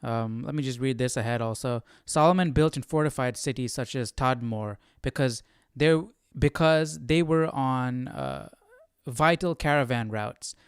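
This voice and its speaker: Clear-voiced man in casual study commentary. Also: mid-range voice, a little slow, dry recording, clean studio sound. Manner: informal, musing aloud. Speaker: host of a Bible study livestream. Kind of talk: casual live study commentary, thinking aloud and reading notes.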